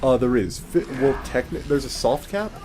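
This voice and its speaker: A man's voice: medium pitch, expressive, normal speed, music in the background. A man giving casual game commentary as the host, explaining things in a conversational way. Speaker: gaming video host.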